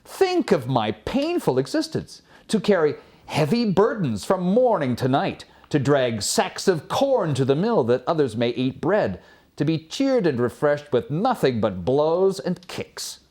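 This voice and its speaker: A weary storybook donkey, acted out by a male reader, pleads plaintively about its life of toil. The voice swings widely in pitch, and the phrasing is formal and antique. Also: very animated, unhurried, a little mellow. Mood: pleading, burdened. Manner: plaintive, old-fashioned formal speech.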